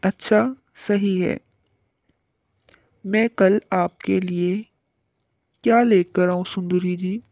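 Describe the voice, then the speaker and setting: A woman plays a sly, lovestruck male crow from a cartoon story. The crow talks slowly and coaxingly, eager to please. The sound is echoey and muffled. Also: mid-range voice, steady tone. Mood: eager, obliging. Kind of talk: Hindi cartoon story dialogue. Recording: muffled lo-fi cartoon audio with echo.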